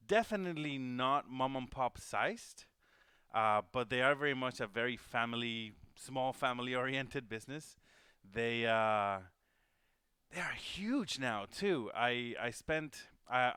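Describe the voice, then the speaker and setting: A man's voice: mid-range voice, pushed and bright, clear, resonant tone, dry recording, studio quality. A man from Venezuela hosting a livestream, talking casually and rambling with many fillers and restarts. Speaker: host. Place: home studio.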